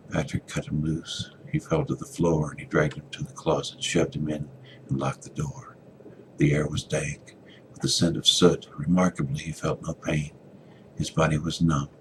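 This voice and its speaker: A man giving a dramatic reading of a story, theatrical, moving between hushed and forceful delivery. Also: flat delivery, moderate pace, background noise. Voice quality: very deep, gravelly. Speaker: author reading a short story.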